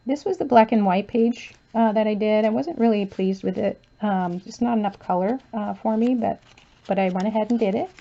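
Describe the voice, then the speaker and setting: A woman casually talking through a handmade journal she shows page by page, softly and with frequent fillers, her voice going hoarse as she loses it. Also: mid-range voice, normal speed, highs cut off. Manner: soft-spoken, relaxed, with frequent um and uh fillers. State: losing her voice, clearing her throat. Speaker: crafter showing her journal. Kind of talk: casual flip-through commentary.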